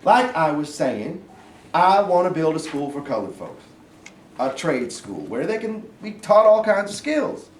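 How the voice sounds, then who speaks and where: A man in a staged play reading, playing a domineering, folksy Southern authority figure who gives gruff commands. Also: on the high side, sing-song, measured pace. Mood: commanding, persuasive. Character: blustering Southern sergeant turned governor and stern father. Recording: distant echoey room, faint noise.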